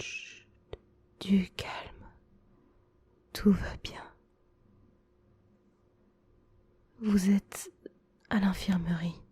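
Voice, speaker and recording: A gentle male school nurse, played by a woman, soothes a patient in a very breathy ASMR whisper. The voice is slow and mellow, with an even, hushed melody and a reassuring, attentive manner. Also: on the low side, no echo, full character voice. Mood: soothing. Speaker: ASMR roleplay performer. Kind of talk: whispered French ASMR roleplay.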